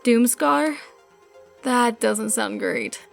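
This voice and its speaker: A woman's voice: a little high, very slow, vocal fry, soft background music, heavily acted. A woman playing a tough, impatient monster hunter in a fantasy audio drama, blunt, casual and wry. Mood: sarcastic.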